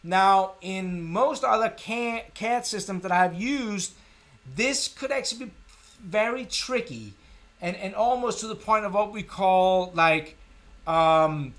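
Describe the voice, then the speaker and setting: A middle-aged man with a Danish accent speaks in a slightly high voice. He hosts a live software tutorial in a casual, informal style, thinking aloud as he works. His manner is self-deprecating. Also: slow. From Denmark. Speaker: tutorial live stream host.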